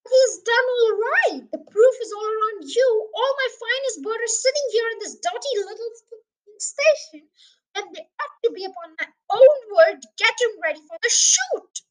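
A pompous, indignant gentleman from a storybook, as acted out by a child. The voice is very high and bright, swinging up and down as he sputters and shouts. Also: wildly swooping pitch, fairly quick, few pauses, recorded from a distance, exaggerated voice, poor audio. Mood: furious. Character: furious, blustering pompous wealthy man.

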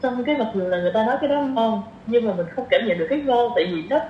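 A woman's voice: on the high side, animated, racing. Southern Vietnamese woman chatting, echoey room. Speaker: podcast guest. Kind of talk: casual conversational interview. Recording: echoey room, muffled, faint noise.

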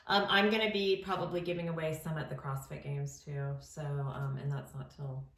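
A woman's voice: deep voice, level tone, normal speed, creaky, a bit bright, recorded from a distance. Chatty young woman, slightly nasal, roomy echo. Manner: chatty, rambling, laughs often. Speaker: podcast co-host. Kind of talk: casual chat. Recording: echoey room, voice a bit off-mic.

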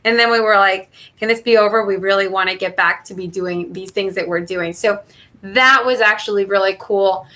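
A woman's voice: mid-range voice, bright, forceful tone. A woman who grew up in southern Mexico with Spanish as her first language, answering interview questions in a thoughtful way, with many fillers and restarts. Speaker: guest, NASA engineer.

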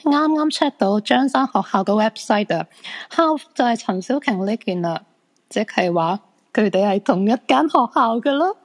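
An actress with a Hong Kong Cantonese accent playing an excitable, insistent crystal fortune-teller in a radio drama. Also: female voice, mid-range voice, moderate pace. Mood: sarcastic, businesslike.

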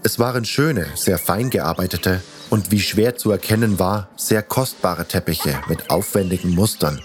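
A man's voice: sits low, normal speed. A man narrating a fantasy audio story in the first person, reading from a script as its protagonist. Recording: faint music behind the voice.

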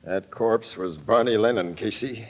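An actor playing a terse, businesslike homicide captain in a 1940s radio drama. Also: male voice, lowish voice, measured pace, over music, close to an everyday voice. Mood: grim. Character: sober, businesslike male homicide police captain.

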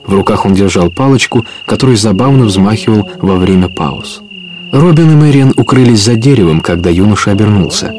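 A man's voice: on the low side, animated, fairly quick, darkish tone, slight background noise. A man narrating an adventure story in a scripted radio play, as a storyteller.

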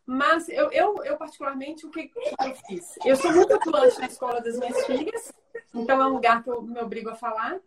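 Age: adult. Sex: woman. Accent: Brazilian Portuguese. Trait: high voice, distant mic. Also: expressive, brisk, very noisy. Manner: chatty, many tag questions and restarts. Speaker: interview guest. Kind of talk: casual conversation.

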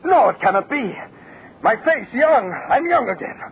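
An actor playing an excitable old servant turned young again, melodramatic and exclamatory, in 1940s radio drama. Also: male voice, very high-pitched, moderate pace, full character voice. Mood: amazed. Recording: tinny, hissy old radio recording.

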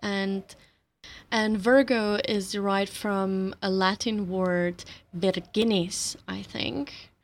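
A woman whose first language is Slovenian, talking calmly about astrology and the body, with soft laughs between her thoughts. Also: medium pitch, slow pace. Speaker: astrology teacher. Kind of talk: reflective spiritual talk.

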